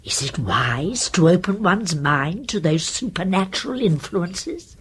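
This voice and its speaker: An actress playing a genteel, crafty British spinster sleuth in a radio drama, with fussy, precise and effusive diction. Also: female voice, lowish voice, lively intonation, a little slow, slightly rough, full character voice. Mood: feigned polite curiosity.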